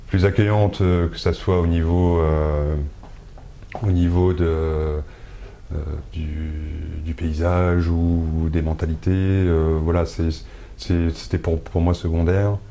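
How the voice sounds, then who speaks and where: A man's voice: low voice, flat delivery, takes it slowly, mellow, a little hiss or hum. A man from Brittany, a shop owner, answering interview questions hesitantly, with many filler sounds and restarts. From Brittany, France.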